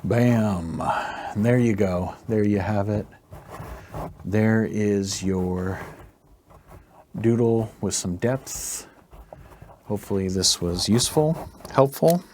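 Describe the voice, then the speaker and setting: Low, slow-talking man, slightly hoarse. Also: slight background noise. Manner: relaxed, rambling, with fillers. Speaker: art tutorial host. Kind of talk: casual narrated drawing tutorial.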